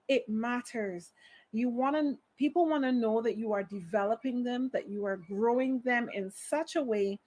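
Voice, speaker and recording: A woman with a Barbadian accent giving an online conference talk on HR, earnest and emphatic, often addressing her audience directly. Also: a little high. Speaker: HR speaker.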